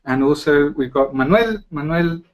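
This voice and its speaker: A man's voice: a little high, lively intonation, moderate pace, lo-fi sound. A middle-aged British man from Britain hosting a travel webinar from an office, friendly and chatty, with frequent "uh" fillers. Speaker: webinar host. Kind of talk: live travel webinar presentation. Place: office in Quito.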